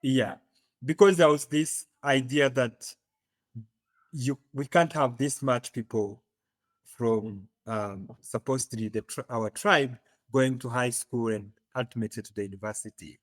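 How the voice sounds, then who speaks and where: A man from Uganda with an East African accent, telling his story as an interview guest, often pausing to search for words. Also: medium pitch, steady tone, no room sound. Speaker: podcast guest.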